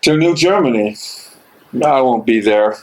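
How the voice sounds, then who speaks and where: A man's voice: mid-range voice, lively intonation, measured pace, darkish tone, lightly acted, low-quality recording. A man acting a troubled but resolute imprisoned theologian in an amateur stage reading, anguished, brooding and hesitant.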